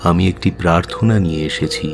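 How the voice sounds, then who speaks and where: A man playing a calm, learned Buddhist monk in an audio drama, speaking in a measured, formal and gentle way. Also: low-pitched, creaky, soft background music. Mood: courteous. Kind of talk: audio story acting in Bengali radio drama.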